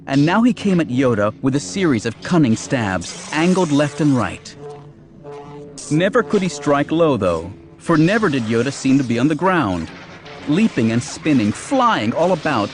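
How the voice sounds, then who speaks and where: A man narrates an audiobook in a dramatic, scripted storytelling style. His mid-pitched voice is very lively in its inflection, and music plays behind it.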